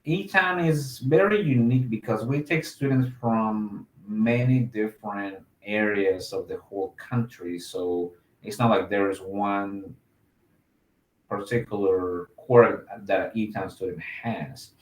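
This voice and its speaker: A man's voice: on the low side, measured pace, echoey room, low-quality recording. A man from Mexico, an admissions officer, answering interview questions in a casual, upbeat way with many fillers.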